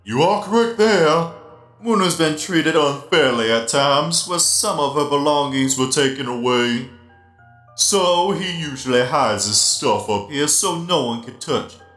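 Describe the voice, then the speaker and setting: A man's voice: on the high side, lively intonation, a little slow, a bit bright. Man voicing a giant friendly robot spider DJ, roomy. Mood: genial. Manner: genial, playful, explanatory. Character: giant friendly half-blind animatronic spider DJ. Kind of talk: comic dub character acting. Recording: roomy echo, music behind.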